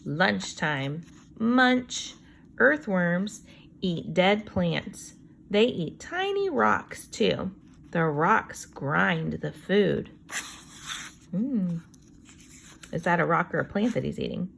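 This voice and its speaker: A woman reads aloud to kindergartners at a very unhurried pace, her voice on the low side with a gravelly creak. She reads each sentence deliberately, then adds chatty, wondering comments as a teacher would. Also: sing-song, a bit bright, slight background noise. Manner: slow deliberate reading, chatty asides with wondering exclamations.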